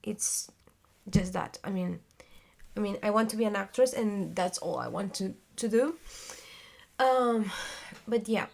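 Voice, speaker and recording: Young woman, twenties, Spanish accent, slow and halting with plenty of fillers, a gravelly fry in the voice; casual vlog delivery. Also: mid-range voice. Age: 20s. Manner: hesitant, many fillers and restarts, searching for words. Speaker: vlogger and theater actress. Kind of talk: casual talking-to-camera vlog.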